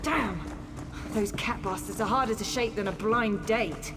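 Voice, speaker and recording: A woman's voice: mid-range voice, a little slow, natural voice. An actress playing a headstrong, sarcastic young noblewoman on the run in a video game, defiant and wry. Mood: wry, tense. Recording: game music behind the voice.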